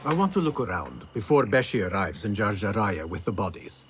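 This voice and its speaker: A man acting as an earnest, guarded young assassin in a video game, with a measured, resolute delivery. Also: fairly low pitch, big pitch swings, music in the background.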